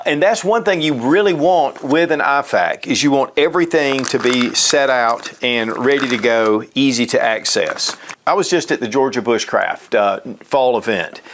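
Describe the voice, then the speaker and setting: A man with a mid-pitched voice presents a piece of gear in a casual, unscripted style. He explains each feature step by step, sounding practical and matter-of-fact. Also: fairly bright tone. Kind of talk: casual product demonstration.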